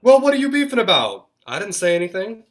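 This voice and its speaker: A woman playing a gruff, trigger-happy, impatient gangster in a radio play, blunt and terse. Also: mid-range voice, sing-song, fast talker, lightly acted. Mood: defensive, impatient. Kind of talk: vintage radio drama acting.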